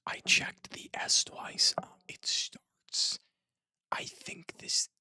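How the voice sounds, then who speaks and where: A person whispering casual, rambling commentary while playing puzzles, musing aloud in a breathy, hushed voice close to the microphone. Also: slow pace, no echo. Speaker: host of a puzzle-game stream.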